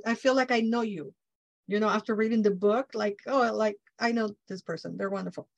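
A woman's voice: mid-range voice, no echo. Mexican-accented woman host, dry close sound. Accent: Mexican Spanish. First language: Spanish. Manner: effusive, enthusiastic. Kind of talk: interview chat.

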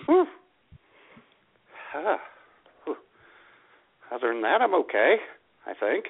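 A man's voice: a little high, huge pitch swings, takes it slowly, slightly rough. An American man co-hosting a radio show with casual, irreverent comedic banter and frequent exclamations. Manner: irreverent, mildly profane, exclamatory. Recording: tinny band-limited radio sound.

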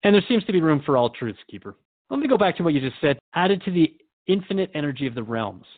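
A man's voice: a little high, big pitch swings, quick pace, phone-quality sound. A man playing a curious, respectful radio host in a radio drama, interviewing in an inquisitive, conversational way. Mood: curious, earnest.

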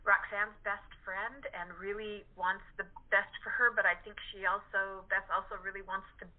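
A woman chatting casually as an interview guest, pausing thoughtfully as she goes. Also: mid-range voice, little pitch movement. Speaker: cast member being interviewed. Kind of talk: casual chat. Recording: tinny telephone line.

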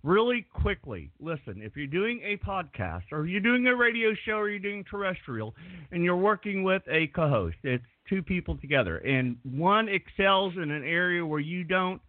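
A man co-hosts and produces a radio talk show, rambling through fillers and rushing in bursts. His voice sits a little high for a man. The sound is dry and narrow, like a phone line. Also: sing-song, no room sound. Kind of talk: casual radio chat.

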